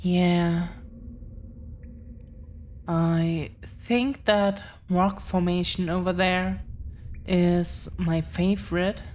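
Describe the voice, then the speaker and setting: A woman playing an affectionate, earnest girlfriend of dragon descent in an intimate roleplay audio, speaking gently with lingering pauses. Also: lowish voice, steady tone, slight background noise. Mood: tender, reassuring. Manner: gentle, hesitant, lingering pauses between phrases.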